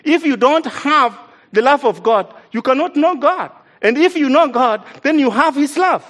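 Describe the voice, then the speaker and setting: An East African man, not a native English speaker, delivers a sermon in a notably high voice. He preaches earnestly and with emphasis, repeating his main points to drive them home. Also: lively intonation, moderate pace, fairly bright tone. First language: an African language, not English. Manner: earnest, emphatic, repeats key lines for stress.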